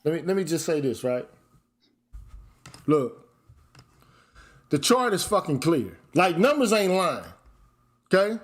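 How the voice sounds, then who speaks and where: A man's voice: a little high, very animated, slow, long pauses. A man hosting a heated panel debate, blunt and profane, hammering his points with numbers. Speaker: show host.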